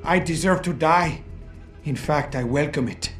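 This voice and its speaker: A defeated, educated doctor in a game cutscene. His fairly high male voice is brooding, deliberate and heavy with guilt, with faint music behind it. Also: fairly bright tone. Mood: resigned. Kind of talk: dramatic video game cutscene acting.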